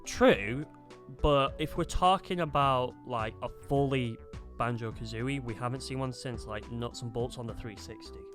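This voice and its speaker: A man's voice: mid-range voice, moderate pace, a bit bright. Man chatting casually, music behind. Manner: rambling, repeats phrases. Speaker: co-host of a video game discussion show. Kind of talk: casual chat about games and films.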